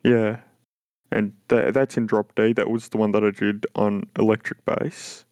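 Slow, hesitant young man with a very deep voice. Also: level tone, darkish tone, dry recording, studio quality. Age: young adult. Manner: halting, hesitant, many restarts. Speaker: podcast co-host. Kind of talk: casual chat.

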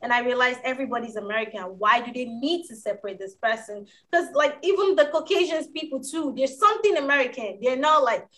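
A woman from Africa, co-hosting a casual discussion, explaining her views in a reflective, measured way. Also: high-pitched, animated, a bit bright.

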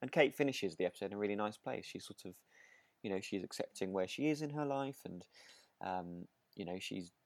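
A man with a low voice co-hosts a casual recap podcast, recorded dry and close. He chats loosely and hesitantly, often searching for the right words. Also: animated, fairly quick, dry recording. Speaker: podcast co-host. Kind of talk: casual chat recapping a radio drama.

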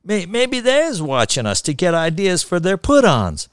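Guy playing a cranky old grandpa in a story, rambly folksy talk, pitch jumping around. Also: huge pitch swings, a little slow, clear, resonant tone, no room sound, clean studio sound, heavily acted. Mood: grumbling, insistent. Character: stubborn old grandpa, grumbling small-town storyteller.

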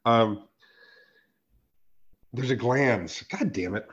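A man with a raspy, hoarse voice, co-hosting a casual podcast chat, emphatic and quick to laugh. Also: medium pitch, lo-fi sound. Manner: animated, emphatic, swears and laughs often. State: hoarse from a sore throat. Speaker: podcast co-host.

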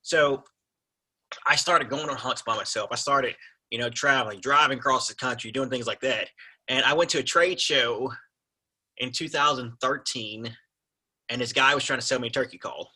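A man's voice: mid-range voice, normal speed, sharp tone, husky. A man in his thirties, an interview guest telling a story casually, with lots of "like" and "you know". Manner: loose, filler-heavy, lots of "like" and "you know".

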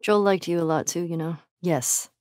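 A woman's voice: lowish voice, steady tone, moderate pace, darkish tone, no echo, close to an everyday voice. Game role: actress as young American female survivor, sardonic, blunt, foul-mouthed, naturalistic delivery, dry studio sound. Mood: casual, wry. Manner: blunt, sweary. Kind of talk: naturalistic video game acting.

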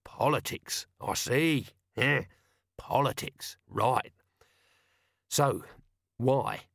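A man's voice: medium pitch, drawn-out words, creaky, fairly bright tone, dry recording, clean studio sound, full character voice. A man voicing a scruffy, naive police corporal in an audiobook, colloquial and always questioning. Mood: doubtful, puzzled. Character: scruffy, artless, sceptical low-ranking male police corporal.

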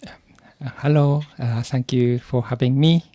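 A man from Japan with a Japanese accent, answering interview questions as an English learner, haltingly searching for words. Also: medium pitch, fairly even intonation, warm tone, dry recording. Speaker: podcast guest, English learner.

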